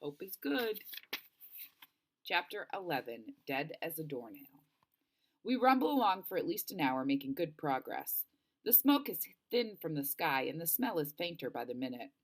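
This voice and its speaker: A woman reading a novel aloud as narration, with expressive, dramatic storytelling. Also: low-pitched, normal speed. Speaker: audiobook-style reader.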